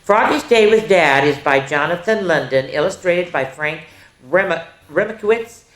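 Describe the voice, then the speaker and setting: A woman's voice: on the low side, lively intonation, unhurried. A woman hosting children's story time, reading picture books expressively and talking directly to young listeners with casual asides. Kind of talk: picture-book read-aloud with casual asides. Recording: roomy echo, faint background noise.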